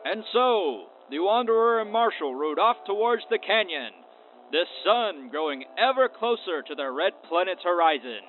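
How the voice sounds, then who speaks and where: Man putting on a dramatic narrator, radio serial style, grandiose, deliberate, thin tinny audio, noise. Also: sits high, animated, voice pushed up. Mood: grand, sweeping. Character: dramatic old-time western serial narrator. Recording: tinny band-limited audio with clear noise.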